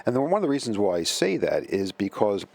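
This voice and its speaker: Chatty middle-aged man, low voice. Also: sing-song, quick pace, gravelly, darkish tone, dry recording. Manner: rambling, chatty, lots of fillers and self-corrections. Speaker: vintage computer channel host. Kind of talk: casual commentary.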